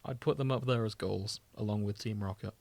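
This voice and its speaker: A man's voice: fairly low pitch, brisk, gravelly, a little mellow, dry recording. A man co-hosting a podcast in casual, conversational chat. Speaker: podcast co-host.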